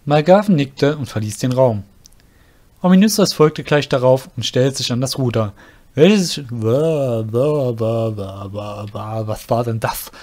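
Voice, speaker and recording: A man's voice: medium pitch, measured pace, darkish tone. A man reading scripted fantasy narration as an audio drama narrator, breaking off to correct himself.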